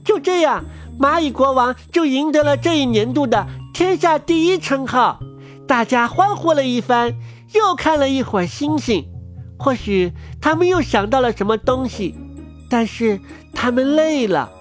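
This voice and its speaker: A woman narrating a children's story in an expressive storytelling manner. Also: high voice, moderate pace. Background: music.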